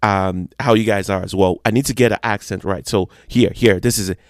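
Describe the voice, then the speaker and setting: A man's voice: lowish voice, fairly even intonation, fairly quick, dry recording, studio quality. A young Nigerian man hosting his own music podcast, talking chattily and joking about how well he does foreign accents. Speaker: podcast host. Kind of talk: solo podcast monologue.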